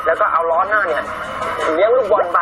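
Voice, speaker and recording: A man's voice: sits high, fast talker, noisy background. A man in his twenties hosting a children's show, presenting trivia and quizzes in a playful, upbeat big-brother way. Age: 20s. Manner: playful, speaks as an older brother to young viewers. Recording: music playing loudly behind the voice.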